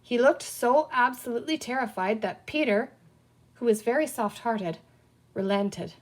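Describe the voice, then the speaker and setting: A woman reading a children's book aloud as an expressive storyteller. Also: on the high side, moderate pace. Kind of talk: expressive scripted story narration.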